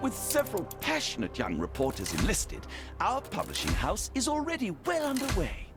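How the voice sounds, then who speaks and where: Casting: fussy, idealistic gentleman newspaperman for a period game, high-pitched, wildly swooping intonation, music behind. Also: moderate pace, full character voice. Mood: earnest, eager. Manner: fussy, excitable, polite. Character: eager, nervous, idealistic gentleman newspaper publisher. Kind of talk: theatrical video game character acting.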